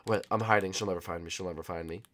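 A man's voice: deep voice, fairly even intonation, rapid-fire, a bit bright, slight background noise, voice pushed down. A man voicing a whiny, scheming, spoiled young monster boy in a comedy skit, rambling dramatically and repeating himself. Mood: excited, panicky.

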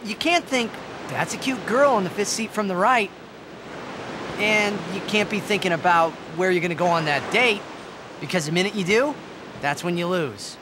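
A man's voice: moderate pace, close to an everyday voice. A young man with an American accent playing an eager, naive teenage hero in a video game cutscene, speaking in an earnest, boyish way. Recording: game audio over steady surf-like rumble.

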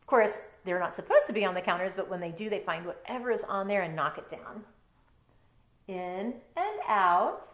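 A middle-aged woman reading a picture book aloud with casual asides, expressive and explaining things to young listeners. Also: medium pitch, normal speed. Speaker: storytime reader, teacher. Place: bedroom, indoors. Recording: roomy bedroom sound.

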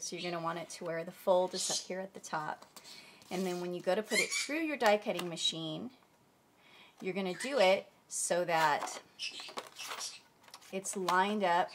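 A woman's voice: lowish voice, a little hiss or hum. A woman hosting a craft tutorial, chatty and a bit stuffy from a cold, explaining step by step at her table. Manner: chatty, friendly, searches for words. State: stuffy, under the weather. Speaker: crafting channel host. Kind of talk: casual tutorial demonstration.

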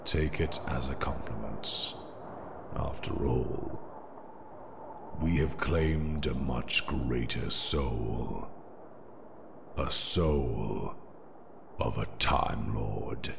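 An actor playing a solemn, menacing demon priest of hell with a gravelly voice and a grave, formal way of speaking, in a fan audio drama. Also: male voice, very slow, pauses often, noisy background, exaggerated voice. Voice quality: very deep, gravelly. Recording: long cavernous reverb, music behind. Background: dramatic music.

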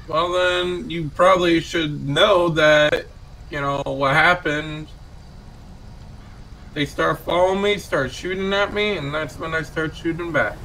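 A man's voice: fairly high pitch, unhurried, bright, forceful tone, poor audio. A man playing an aggrieved detained biker in an online police role-play, protesting and repeating words hesitantly. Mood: defensive. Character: aggrieved detained male biker pleading self-defense. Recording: gaming voice chat with clear background noise.

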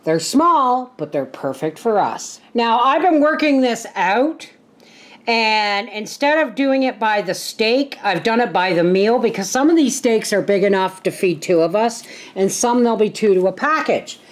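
Slow-talking, folksy older woman. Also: mid-range voice, lively intonation. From Canada. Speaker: homesteading vlogger and host. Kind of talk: casual vlog narration. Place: home kitchen, indoors.

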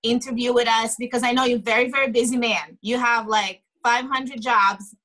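A woman's voice: a little high, fairly even intonation, moderate pace, sharp tone, rough recording. A woman hosting a video call interview, chatty and enthusiastic as she asks questions. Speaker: host. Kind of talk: casual interview. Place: indoor home room. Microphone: video call webcam.

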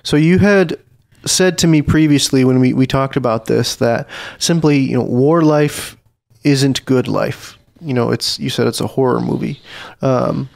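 A man in his thirties hosting a podcast interview, gentle and filler-heavy, at a boom-arm mic in a small studio room. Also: unhurried, gravelly, no room sound. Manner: gentle, with frequent ums. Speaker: podcast host.

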